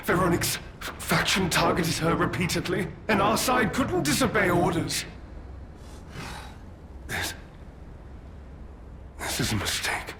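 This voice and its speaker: Give the voice of a craven, self-justifying schemer from a dramatic video game. He is high and sharp-toned, with halting, wheedling delivery that stretches out slowly. Faint ambience sits behind him. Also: a little hiss or hum, full character voice. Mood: furtive, explaining, then desperate, unhinged.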